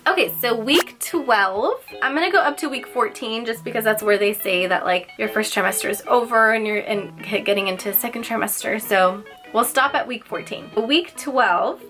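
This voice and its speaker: A woman's voice: a little high, normal speed, fairly bright tone, soft background music. A young woman vlogging casually, slightly nasal and congested, chatty with lots of filler words. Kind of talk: casual personal vlog.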